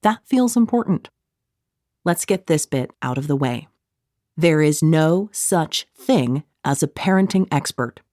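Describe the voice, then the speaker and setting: A woman narrates an audiobook in a slightly low voice that swings widely in pitch. Her reading is scripted yet conversational, full of wry, snarky asides. The sound is dry and close, with very little room in it. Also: lively intonation, measured pace, darkish tone, no room sound. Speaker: author reading own audiobook.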